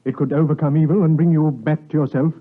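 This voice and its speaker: A man's voice: a little high, fairly even intonation, fast, lightly acted. A British man acting in a 1950s radio drama as a proper, skeptical doctor who is aghast, with a stammering, dramatic delivery. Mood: aghast, probing. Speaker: actor. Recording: tinny, narrow 1950s radio recording.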